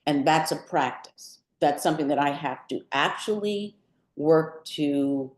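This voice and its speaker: An older woman with a low voice speaks slowly and thoughtfully, pausing mid-sentence as she reflects on her work. The recording is roomy and echoing. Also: a little mellow. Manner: measured, thoughtful, with deliberate pauses mid-sentence. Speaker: poet and artist being interviewed. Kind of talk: reflective talk about own creative work at a book event. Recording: roomy, echoing live event sound.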